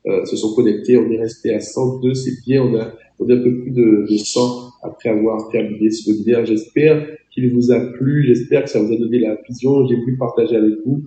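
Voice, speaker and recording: A man's voice: medium pitch, fairly even intonation, fairly quick, hardly any pauses, mellow, reverberant, rough recording. A man with a cold and a French accent, giving an emphatic webinar training over a video call, exhorting his audience directly. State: has a cold, voice a bit off. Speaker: network marketing trainer.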